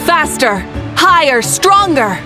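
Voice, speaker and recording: A woman's voice: sits high, big pitch swings, slow pace, exaggerated voice. An actress voicing a bold, commanding woman who hosts a tournament, speaking in a confident, rousing way. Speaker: tournament host. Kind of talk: video game voice acting. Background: game music.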